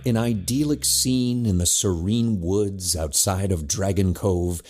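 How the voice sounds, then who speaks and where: Guy narrating a scripted audio drama, lowish voice, descriptive storyteller, music going behind him. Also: unhurried, a little mellow.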